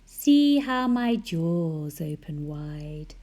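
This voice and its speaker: A woman voicing a sly, grinning shark in a storybook, hushed and coaxing. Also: on the low side, wildly swooping pitch, drawn-out words, slightly rough, exaggerated voice.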